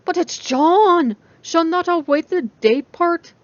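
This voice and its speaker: A woman voicing an excitable, nosy young female roommate with a gushing, exaggerated manner in a story reading. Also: very high-pitched, slow, dry recording, dull audio, voice pushed up. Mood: teasing, encouraging.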